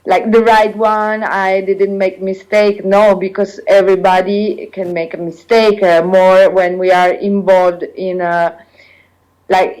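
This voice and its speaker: A woman with an Italian accent, telling her own story as an interview guest, pausing often to search for English words. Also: mid-range voice, a little mellow, clean tone, rough recording. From Italy. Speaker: guest sharing personal experience. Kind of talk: earnest personal account in interview.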